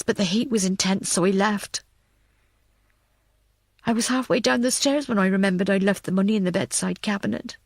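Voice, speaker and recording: A woman's voice: medium pitch, creaky, dry recording, clean studio sound. A woman reading a scripted thriller audiobook as narrator.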